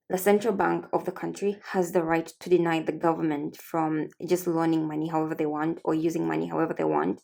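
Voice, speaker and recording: A woman's voice: fairly low pitch, creaky, dark tone. A young woman with an East African accent, hosting a casual talk show and explaining a topic in a chatty way, often correcting herself and laughing at her own slips. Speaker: host.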